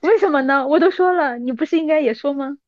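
Higher-pitched woman, thirties, teasing casual talk. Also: fast, no echo, lo-fi sound. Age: 30s. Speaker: podcast guest. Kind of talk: casual chat between old friends.

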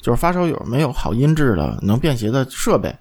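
A man's voice: medium pitch, sing-song, a little fast, a little mellow. A man co-hosting a podcast, chatting about audio gear in a blunt, colloquial way and often tagging points with checks for agreement. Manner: blunt, colloquial, seeks agreement with tag questions. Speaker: podcast co-host.

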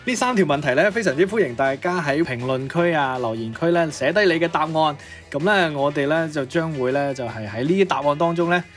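Male co-host, Cantonese, animated and exclamatory, fairly high register, playful banter over faint music. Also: a little fast. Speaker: podcast co-host. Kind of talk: casual banter.

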